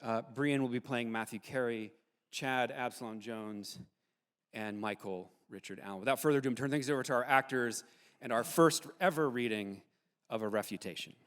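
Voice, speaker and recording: A man's voice: medium pitch, normal speed, a bit bright, clean tone. The long reverb of a big hall surrounds a man with a mid-range voice. He introduces a staged reading as host, explaining informally and feeling his way through phrases in short bursts. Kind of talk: informal live introduction to an audience. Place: large hall, speaking live to an audience.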